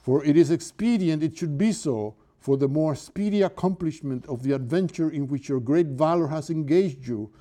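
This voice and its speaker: A man's voice: a little high, normal speed, hardly any pauses, warm tone, lightly acted. A man with a Cuban accent reading aloud a village barber posing as a prophet, in dramatic, affected tones. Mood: grandiose. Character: village barber playing a grandiose prophet, male.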